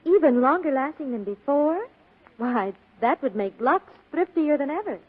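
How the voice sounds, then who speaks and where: A woman's voice: high-pitched, expressive, normal speed. A woman playing an eager, wondering housewife in a 1940s radio commercial. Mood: delighted, wondering. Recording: tinny 1940s radio broadcast.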